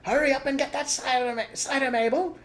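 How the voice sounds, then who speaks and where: A man voicing a bossy farmer's wife calling out loudly from upstairs. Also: very high-pitched, fairly even intonation, brisk, a little hiss or hum, pitched above the performer's own voice.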